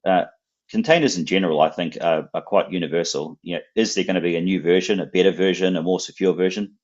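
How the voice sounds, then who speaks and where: Deep-voiced man with a Kiwi accent. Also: fairly even intonation, fast, muffled recording. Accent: New Zealand. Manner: chatty, repeats words while thinking. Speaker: guest. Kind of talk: casual technical explanation on a livestream.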